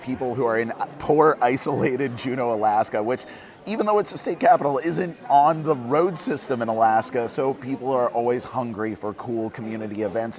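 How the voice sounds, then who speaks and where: A hoarse man hosting a casual board game news roundup, earnest and quick, getting fired up at times. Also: medium pitch. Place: busy convention hall.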